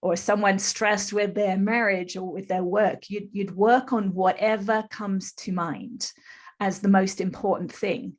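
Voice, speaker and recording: A woman's voice: medium pitch, steady tone, a little slow. A woman in her fifties, a podcast guest and spiritual teacher, explaining her experience in an earnest, flowing way. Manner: earnest, explanatory, speaks in long flowing runs. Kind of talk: conversational storytelling interview.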